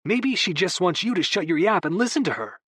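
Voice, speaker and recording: A man's voice: high voice, lively intonation, a little fast, fairly bright tone, breathy edge, no echo, pitched above the performer's own voice, exaggerated voice. A man doing a bossy, lecturing brother. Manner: lecturing, pointed.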